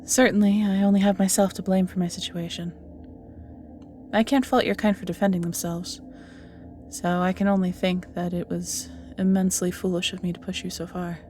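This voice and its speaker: A woman's voice: lowish voice, level tone, normal speed, a little mellow, close to an everyday voice. A woman playing an ancient banished evil magical being in an audio roleplay, flirty and world-weary, musing theatrically and often sinking to near-whispers, with music behind. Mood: rueful. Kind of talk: scripted audio roleplay acting, addressing the listener.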